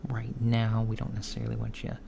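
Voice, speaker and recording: A man playing a calm, attentive male alien doctor in a softspoken ASMR roleplay, talking gently and hesitantly, with frequent ums and slips into a whisper. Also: lowish voice, vocal fry. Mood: gentle. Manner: soft-spoken, unhurried, slipping into whispers. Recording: lo-fi with steady background hiss.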